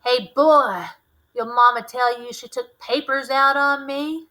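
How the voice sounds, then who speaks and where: A woman's voice: high voice, steady tone, measured pace, sharp tone. A woman voicing a menacing, abusive drunk father in a read-aloud novel, barking demands and taunts. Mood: angry, bullying.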